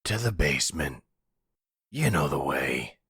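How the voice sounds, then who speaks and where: A man acts out a delusional, possessive crime boss in middle age for an ASMR roleplay. His voice is extremely deep and heavily husky, recorded close and dry. He speaks slowly, with condescending tenderness that carries menace. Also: very animated, fairly bright tone, no room sound, full character voice. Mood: calm menace. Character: possessive, delusional middle-aged male mafia boss and husband.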